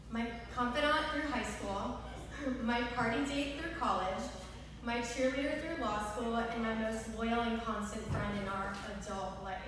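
A young woman reading a scripted rhyming bridesmaid speech at a wedding reception. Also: on the high side. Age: young adult. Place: large wood-walled indoor reception venue. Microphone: mic on a stand, picked up by far camera. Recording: echoey hall, distant camera, noisy room.

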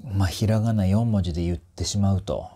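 A man's voice: low-pitched, brisk, warm tone, husky. A man in his forties speaks as a voice coach giving a casual review. His delivery is conversational and musing, full of small asides. He is recorded indoors on a small clip-on mic. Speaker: voice trainer reviewing music. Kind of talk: casual reaction commentary. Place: small indoor space with curtain backdrop.

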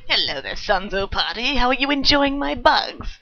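A woman's voice: a little high, very animated, normal speed, pushed and bright, heavily acted. A woman voicing a petty, boastful male bug demon villain in an amateur anime parody dub. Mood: smug, menacing. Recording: dull close mic, breath pops.

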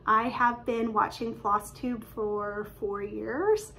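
A woman, chatting excitedly and quickly about her craft projects from her living room, filled with "um"s and little laughs. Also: on the high side, a little hiss or hum, rough recording. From Canada. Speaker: cross-stitch vlogger. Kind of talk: casual show-and-tell chat. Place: living room at home, indoors. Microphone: webcam at desk distance.

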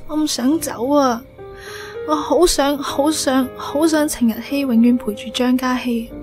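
A woman acting a frail, soft-hearted young woman who hides her love in a radio drama, with a Hong Kong Cantonese accent. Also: high voice, steady tone, a little slow, soft background music. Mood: tearful.

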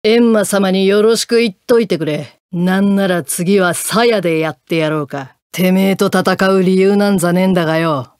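A woman's voice: mid-range voice, normal speed, few pauses, darkish tone, no room sound, clean studio sound, close to an everyday voice. A woman voicing a brash, battle-hungry swordswoman in a fighting game, with coarse, mannish, taunting speech. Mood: cocky, menacing. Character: brash, rough-talking one-eyed swordswoman, battle-hungry and mocking. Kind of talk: Japanese fighting game voice acting.

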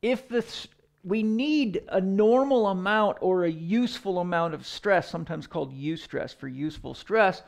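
Higher-voiced middle-aged man lecturing, slightly gravelly. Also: moderate pace. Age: 50s. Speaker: fitness coach and educator giving a lecture. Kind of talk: explanatory lecture to camera. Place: indoor room in front of a chalkboard.

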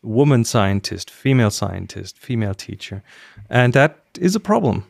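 A man's voice: mid-range voice, very animated, normal speed, vocal fry, dry recording. A middle-aged man from Germany, a native German speaker, co-hosting a casual podcast discussion on a close microphone. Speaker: podcast co-host. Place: small room at home, indoors, video call. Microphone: close podcast microphone with headset.

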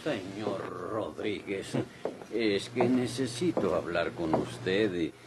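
An actor playing a sly swindler in a Mexican radio drama, with a Mexican accent and hesitant, insinuating, falsely polite delivery. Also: male voice, deep voice, slow pace. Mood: oily, insinuating. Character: sly, wheedling male swindler feigning politeness. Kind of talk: golden-age Mexican radio drama acting. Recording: old radio recording, faint noise.

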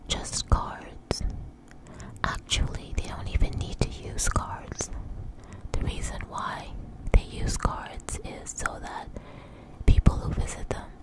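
A woman telling a personal story in a close, breathy ASMR whisper, with halting, deliberate phrasing. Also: low-quality recording. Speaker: ASMR channel host. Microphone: close microphone.

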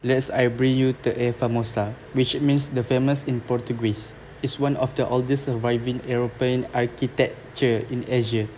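A man's voice: medium pitch, little pitch movement, normal speed, few pauses, warm tone, lightly acted, poor audio. A young man with a Malaysian accent playing a welcoming local tour guide in an amateur audio drama, reading stiffly from a script. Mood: informative. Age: young adult. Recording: amateur recording, background noise.

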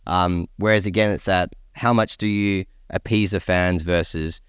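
Smooth, low-voiced man chatting on a panel. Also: fairly even intonation, normal speed, dry recording, studio quality. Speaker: podcast panellist. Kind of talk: casual panel discussion.

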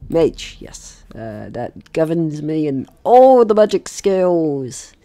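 A man's voice: a little high, sing-song, slow, warm tone. A man hosting a casual let's-play, talking playfully to the game's characters and swearing now and then. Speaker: gaming host.

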